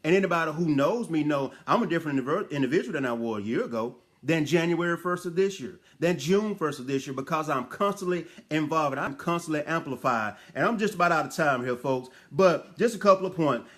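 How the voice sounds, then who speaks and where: Man, fairly high voice, motivational speaker, emphatic, folksy wording, direct questions to the listener, preacher-like exhortation. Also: animated, brisk, few pauses, fairly bright tone. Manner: emphatic, direct address with rhetorical questions and repeated phrases; colloquial, folksy phrasing.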